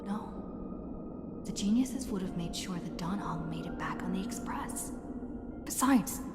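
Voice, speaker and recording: An actress playing a wary young heroine in a video game, hesitant and musing to herself with doubt. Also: female voice, medium pitch, expressive, measured pace, natural voice. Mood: suspicious. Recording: echoey long reverb, game music behind.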